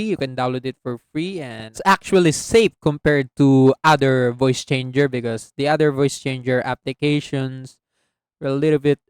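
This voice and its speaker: A man hosting a casual software tutorial as a voice-over. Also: medium pitch, clean tone, dry recording. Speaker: tutorial host. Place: close, dry indoor voice-over recording.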